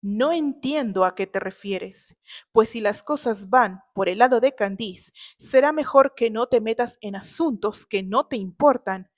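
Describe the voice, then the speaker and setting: A woman's voice: a little high, unhurried, close to an everyday voice. A woman voicing a proud, wronged young man in a dramatized novel reading, challenging and indignant. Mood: controlled irritation. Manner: challenging, interrogating.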